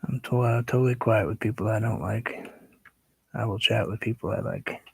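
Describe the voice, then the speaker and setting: A man hosting a painting livestream, chatting casually and relaxed with viewers, sometimes dropping to a soft whisper as he works. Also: on the low side, moderate pace, vocal fry, slightly rough. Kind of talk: casual chat while painting.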